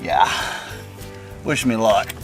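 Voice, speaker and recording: A man's voice: fairly low pitch, lively intonation, slow, music in the background. A man talking informally to viewers as he explains a hands-on car project, in a do-it-yourself vlog style. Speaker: car restoration vlogger. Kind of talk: casual do-it-yourself vlog narration.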